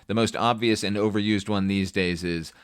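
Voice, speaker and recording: Low-voiced man reviewing films, close dry sound. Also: steady tone, normal speed, clear, resonant tone, no room sound. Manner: opinionated, wry, casually profane. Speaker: film review podcast host. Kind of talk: scripted film review monologue, conversational.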